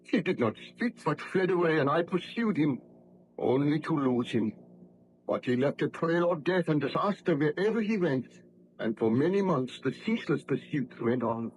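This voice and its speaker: A man's voice: a little high, lively intonation, moderate pace, slight background noise, lightly acted, rough recording. An actor playing a haunted, vengeful baron in old radio drama style, telling his story in formal, fervent, theatrical speech. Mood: grave, driven. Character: haunted, exhausted, vengeful male baron recounting his hunt. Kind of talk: 1940s radio drama acting.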